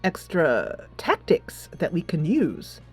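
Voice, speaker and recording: A woman hosting a casual let's play, chatting in an animated, giggly manner into a close mic. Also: fairly low pitch, takes it slowly, slightly rough, faint music behind. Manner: animated, giggly, reads dialogue aloud. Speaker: gaming channel host.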